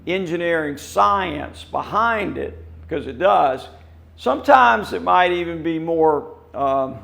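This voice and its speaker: An older man with a hoarse voice, explaining building plans in a casual, rambling way with many fillers. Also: on the high side, a bit bright. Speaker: company representative.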